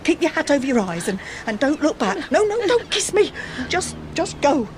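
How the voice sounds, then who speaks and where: Anxious English mother, higher voice. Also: very animated, moderate pace, noisy background. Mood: urgent, grieving. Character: anxious, protective mother on the run, middle-aged. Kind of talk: audio drama acting.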